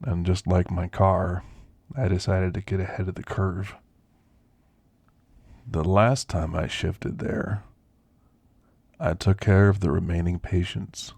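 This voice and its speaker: A man playing an elderly, weathered werewolf with a gravelly, husky voice, reminiscing in a wry, understated way in an ASMR roleplay. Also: low-pitched, little pitch movement, darkish tone, no room sound, heavily acted. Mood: wistful, reflective. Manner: slow, understated, reminiscing storyteller. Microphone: close, dry microphone.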